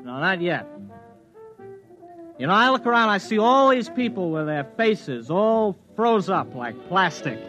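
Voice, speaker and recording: A man's voice: high-pitched, big pitch swings, measured pace, fairly bright tone, heavily acted. A man acting a tipsy, rambling drifter in an old-time science fiction radio drama, with loose, hesitant speech. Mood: tipsy, anguished. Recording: dull vintage radio recording, music.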